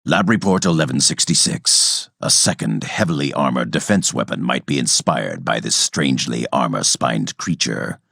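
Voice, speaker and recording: An actor playing a cold, calculating robot villain scientist in a video game, speaking in a measured, clinical and menacing way with formal diction. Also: male voice, very deep voice, lively intonation, gravelly, a bit bright, no room sound, clean studio sound, full character voice. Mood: clinical fascination. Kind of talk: scripted video game character monologue.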